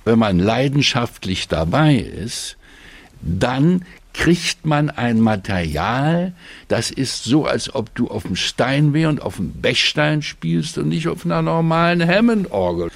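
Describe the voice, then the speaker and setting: An older man, a veteran radio maker, explaining his craft in an emphatic, deliberate and instructive way. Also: medium pitch, sing-song, vocal fry, no room sound. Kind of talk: emphatic, reflective explaining in conversation.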